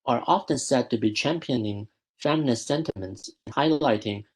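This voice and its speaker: A young man presenting a prepared academic paper at a student research school, reading in a measured way and often correcting himself mid-word. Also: mid-range voice, slightly rough, rough recording. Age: young adult. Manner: measured, with frequent self-corrections.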